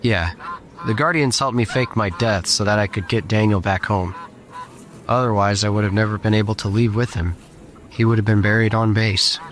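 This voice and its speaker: An actor playing a weary, guilt-laden war survivor in an audio drama, subdued and earnest. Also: male voice, fairly low pitch, fairly even intonation, moderate pace, a bit bright, lightly acted. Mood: somber, troubled. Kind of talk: scripted audio drama acting.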